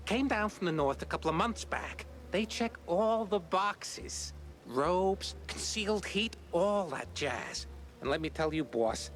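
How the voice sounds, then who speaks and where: An actor playing an eager, chatty informant sidekick in a noir cartoon game, hesitant but excitable. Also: male voice, on the high side.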